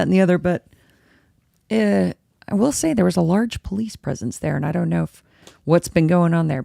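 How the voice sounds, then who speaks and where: Gravelly, hoarse woman chatting. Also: a little mellow, dry recording, studio quality. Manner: chatty, rambling, self-interrupting. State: a little hoarse. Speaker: podcast host. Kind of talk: casual solo podcast chat, live-streamed. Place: home room with dogs. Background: dogs and a squeaky toy at times.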